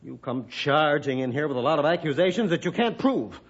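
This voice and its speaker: Cynical, defensive American businessman, gruff and scornful manner, lively delivery, dull old radio recording. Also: fairly high pitch, a little fast, exaggerated voice. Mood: defiant. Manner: gruff, testy, dismissive. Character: surly, defensive, cynical male schemer in business. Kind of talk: 1960s radio drama acting.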